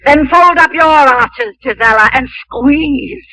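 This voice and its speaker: A woman's voice: slow, pushed and bright, full character voice, poor audio. A woman playing a bossy, scheming stepmother with theatrical wails and commands in a 1950s radio drama. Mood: bossy, urgent. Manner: shrill, theatrical wailing and commanding. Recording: old 1950s radio broadcast recording.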